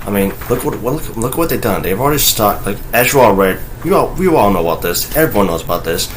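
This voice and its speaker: Guy with a lowish voice ranting on his vlog from home, keeps restarting, swears bluntly. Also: lively intonation, a little hiss or hum. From North Carolina, USA (raised there, born in Okinawa, Japan). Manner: rambling with many halting restarts, blunt and profane. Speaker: vlogger. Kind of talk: casual ranting monologue.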